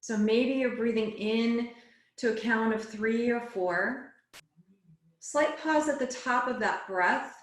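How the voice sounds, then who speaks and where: A woman yoga teacher guiding a relaxation and meditation class in a soothing, unhurried way, phrase by phrase. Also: a little high. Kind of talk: guided relaxation and meditation instruction. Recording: echoey, roomy sound.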